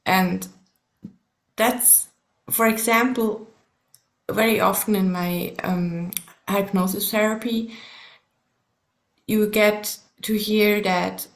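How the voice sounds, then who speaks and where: A woman from Switzerland with a Swiss German accent talks in a relaxed, unhurried way. German is her first language, and she stops now and then to hunt for the right English word. She chats easily as a podcast guest. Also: mid-range voice. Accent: Swiss German, with English learned in the US. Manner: measured, pauses to search for words. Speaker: guest, subconscious coach and therapist. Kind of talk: casual podcast interview.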